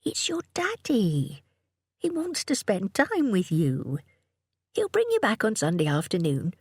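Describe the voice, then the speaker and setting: A woman voicing a gentle, appeasing mother in an audiobook, crooning and determinedly cheerful. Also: medium pitch, big pitch swings, moderate pace, slightly rough, dry recording, clean studio sound, voice pushed up. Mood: soft, coaxing.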